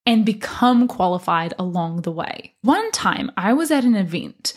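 A woman's voice: medium pitch, big pitch swings, unhurried, slightly rough. A young adult woman hosting a solo podcast, talking as a life coach in an earnest, encouraging and emphatic way. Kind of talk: solo motivational podcast talk.

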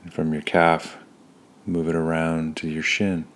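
Male meditation guide: low, even, unvarying delivery, calm and soothing, with unhurried pauses between phrases. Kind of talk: guided body scan meditation.

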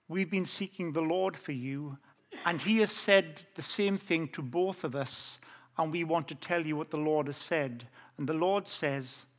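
A Welsh man preaching a personal testimony, with a voice pitched noticeably high for a man. He tells his story earnestly and slows down on the phrases that matter most. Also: fairly bright tone. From South Wales. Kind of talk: testimony sermon.

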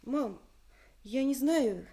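Artless young bride in a radio drama, high voice, slow, gentle-toned delivery. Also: female voice, lively intonation, breathy edge, exaggerated voice. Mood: light, casual. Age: young adult. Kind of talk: radio play acting.

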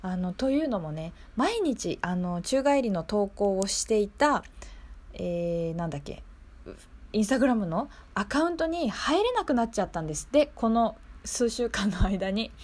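A woman's voice: medium pitch, big pitch swings, a little slow, a little mellow. A woman hosting a local radio show in a casual, chatty, conversational way.

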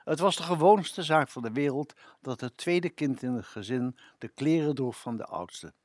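An elderly man in his seventies presenting memories on local radio. His medium-pitched voice has lively swings in intonation, recorded dry and close. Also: normal speed, dry recording. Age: 70s.

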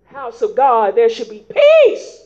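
A woman preaching a sermon in folksy vernacular, rising into shouts and prodding the congregation with repeated calls of "huh?" in a dull lo-fi recording. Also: on the high side, wildly swooping pitch, slow. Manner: emphatic call-and-response preaching with frequent "huh?" prompts.

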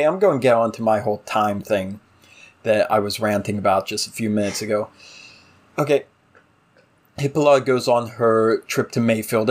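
A man with a medium-pitched voice talks casually as a review show co-host. He is opinionated and emphatic, ranting in exasperation and throwing out rhetorical questions. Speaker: podcast co-host reviewing a TV series. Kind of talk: casual opinionated episode discussion.

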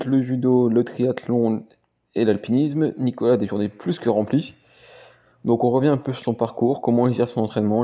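A man reading a podcast introduction in a steady, scripted way. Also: medium pitch. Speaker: podcast host. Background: background music.

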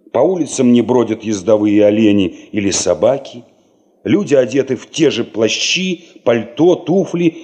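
Male narrator, Soviet radio play. Literary, thoughtful, measured delivery, mid-pitched and mellow. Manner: measured, reflective.

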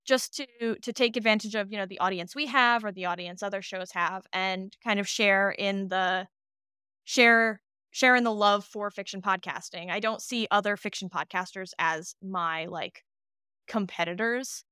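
A woman chatting casually as an interview guest, an animated storyteller who slips into acting out imagined dialogue. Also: mid-range voice, moderate pace, clean tone, no echo, clean studio sound. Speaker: podcast producer, interview guest.

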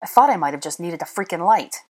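A woman's voice: lowish voice, expressive, fast. A woman voicing an arrogant, hot-tempered teenage wizard boy in a dramatised audiobook, snapping and barking scornfully. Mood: snarling, impatient. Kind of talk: dramatised audiobook character dialogue.

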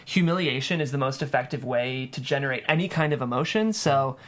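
A man's voice: mid-range voice, a little fast, fairly bright tone. Thoughtful man in casual interview. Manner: thoughtful, explanatory, frequent "like". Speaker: screenwriter being interviewed.

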